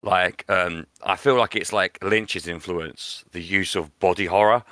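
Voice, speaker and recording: Male podcast co-host, opinionated and conversational, crisp bright edge, very dry close sound. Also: low-pitched, steady tone, a little slow, dry recording. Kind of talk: casual film discussion.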